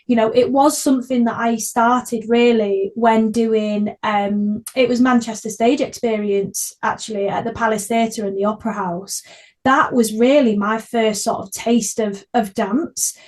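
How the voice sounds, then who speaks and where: A woman's voice: fairly high pitch, fairly even intonation, unhurried, darkish tone. A woman hosting a podcast, chatting casually in an enthusiastic, effusive way. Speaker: podcast host.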